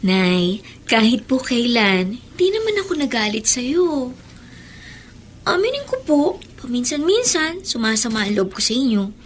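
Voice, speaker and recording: Polite, tender young daughter, higher voice. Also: female voice, sing-song, unhurried, slight background noise. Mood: tender, hurt. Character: respectful, kind young daughter, quietly hurt. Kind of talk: Tagalog radio drama acting.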